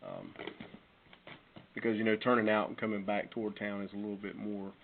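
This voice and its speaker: Low-voiced man on a noisy conference call. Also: level tone, a little fast, gravelly, phone-quality sound. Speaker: fire chief reporting to council. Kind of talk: answering questions in a budget meeting. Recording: phone-band conference call, noisy, clicks.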